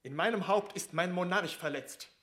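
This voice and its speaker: A male envoy at a royal court, in classical radio drama style. His voice sits rather high, and he speaks with ceremonious, touchy dignity. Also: moderate pace, fairly bright tone. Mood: affronted, indignant. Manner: ceremonious, indignant, formal. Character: courtly, affronted male foreign ambassador.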